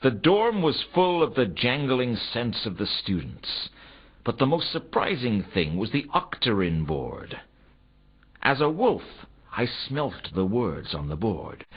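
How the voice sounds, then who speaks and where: An actor playing a world-weary, wry noir detective, narrating a game in a deadpan, sardonic way. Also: male voice, on the low side, husky, heavily acted. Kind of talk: scripted first-person noir game narration. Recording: dull lo-fi game audio.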